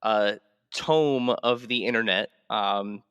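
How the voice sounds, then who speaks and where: A man's voice: fairly low pitch, takes it slowly, a bit bright, clear, resonant tone, dry recording, muffled recording. Casual male podcast host, dry close sound. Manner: rambling, hesitant phrasing with repeated words.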